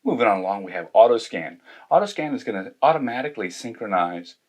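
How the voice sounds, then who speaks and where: Male tech reviewer, lower register, methodical hands-on demo delivery. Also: sing-song, fast talker. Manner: methodical, step-by-step explaining. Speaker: tech reviewer demonstrating gear. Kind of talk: hands-on product demonstration.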